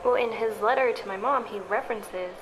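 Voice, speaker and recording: A woman's voice: medium pitch, a little fast, lightly acted. A woman acting as a secretive, determined young scientist in a sci-fi audio drama, terse and guarded. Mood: guarded, matter-of-fact. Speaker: supporting character. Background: background music.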